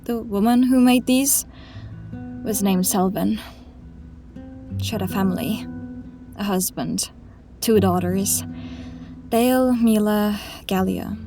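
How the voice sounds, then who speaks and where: Slow-spoken, righteous fantasy heroine played by a woman. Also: mid-range voice, music in the background. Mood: tender, grieving. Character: tall, grieving, righteous young woman in inquisitor's disguise. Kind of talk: fantasy audio drama acting.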